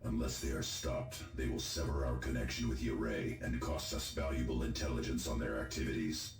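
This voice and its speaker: An actor playing a grave, commanding space-marine advisor in a video game, solemn and deliberate. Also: male voice, extremely low pitch, vocal fry. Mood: urgent, grave. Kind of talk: video game mission briefing. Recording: echoing voice over game music.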